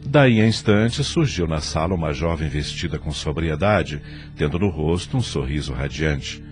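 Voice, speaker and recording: A man narrating an audio drama from a script in an expressive, literary storytelling manner. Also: rumbling low, normal speed, soft background music.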